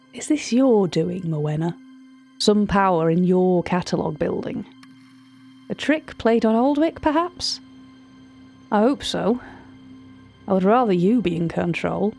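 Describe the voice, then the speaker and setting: A woman's voice: medium pitch, vocal fry, a little mellow. A woman playing an anxious, wordy narrator confiding strange events in an audio drama monologue. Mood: pleading. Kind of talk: audio drama monologue, recorded-tape account.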